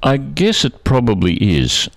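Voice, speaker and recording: An Australian man in his seventies reminiscing conversationally as an interview guest, with measured, hesitant phrasing and self-corrections. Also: mid-range voice, huge pitch swings, vocal fry, no echo. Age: 70s. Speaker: interview guest, retired air traffic controller.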